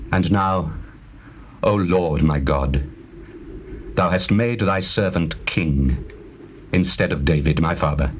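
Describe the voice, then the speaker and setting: Casting: regal young king of scripture drama, lowish voice, formal declamation, faintly noisy tape. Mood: humble, reverent. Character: King Solomon, stern, regal and devout young ruler. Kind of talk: dramatized scripture reading, classical stage acting. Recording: noisy old audio cassette.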